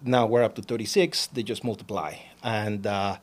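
A man's voice: fairly low pitch, steady tone, normal speed. Chatty Peruvian-man. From Peru. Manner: chatty, repeats words, laughs often. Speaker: guest, alpaca farmer. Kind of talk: casual interview chat.

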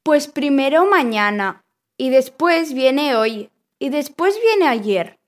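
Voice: high-pitched, big pitch swings, takes it slowly, heavily acted. A performer playing a know-it-all little boy in a children's radio play, explaining things matter-of-factly. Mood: knowing, patient. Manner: explanatory, sure of himself.

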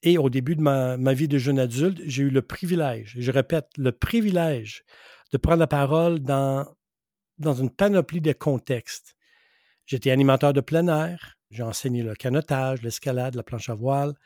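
A man's voice: mid-range voice, moderate pace, mellow, dry recording, studio quality. A middle-aged man from western Canada whose first language is English, hosting a solo podcast as a public speaking coach. He talks earnestly and emphatically, repeating key phrases for weight.

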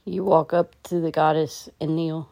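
Low, mellow-voiced woman guiding meditation. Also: normal speed, vocal fry. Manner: calm, gentle, instructional. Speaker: meditation guide. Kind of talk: guided meditation.

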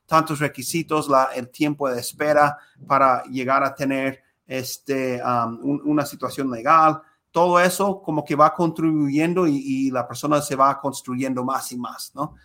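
A man's voice: mid-range voice, fairly even intonation, measured pace, few pauses, a bit bright. A man hosting an interview podcast, talking with many hesitations and fillers. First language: Spanish. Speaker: podcast host. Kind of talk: conversational interview.

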